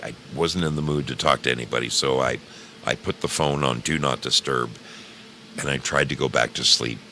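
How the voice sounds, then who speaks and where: Male radio host, gravelly and rock-bottom low, slow-paced casual monologue with theatrical stretched emphasis, faint noise behind. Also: bright, forceful tone. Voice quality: deep, gravelly.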